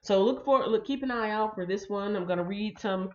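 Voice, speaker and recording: A woman's voice: mid-range voice, a little fast, lo-fi sound. A woman hosting a casual livestream, chatting with viewers and reading their comments, her voice a little congested. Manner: chatty, reads out viewer comments, frequent ums. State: slightly congested. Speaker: livestream host.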